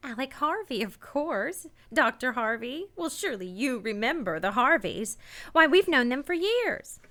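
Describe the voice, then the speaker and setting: A woman's voice: high voice, big pitch swings, unhurried, bright, forceful tone, no room sound, full character voice. An actress in a radio play playing an anguished, guilt-ridden married woman, genteel and emotional in manner. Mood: flustered, covering up. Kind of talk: radio play acting and narration.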